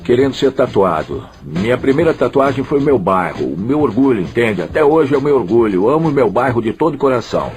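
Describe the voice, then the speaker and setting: A man's voice: medium pitch, big pitch swings, fairly quick, few pauses, a little mellow, soft background music, lightly acted. A man dubbing a proud adult former gang member, talking in casual street style with frequent tag questions. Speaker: dubbed interviewee. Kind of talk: documentary dub voice-over.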